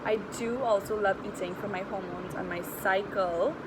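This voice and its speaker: Woman, Trinidadian accent, medium pitch, chatty health coach, informal and candid vlog talk, noticeable background rumble. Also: steady tone, moderate pace. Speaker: health and weight-loss coach vlogger. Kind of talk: casual personal vlog.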